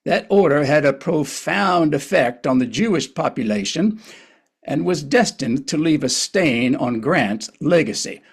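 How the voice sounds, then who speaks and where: A man's voice: on the high side, lively intonation, a little slow, vocal fry, breathy edge. A man narrating a historical play in a scripted but conversational way.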